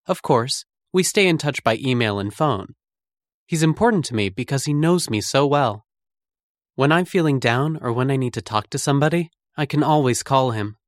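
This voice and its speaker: A man reading a scripted textbook monologue about a friend, in a lively, even storytelling manner. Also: mid-range voice, normal speed, no room sound, studio quality.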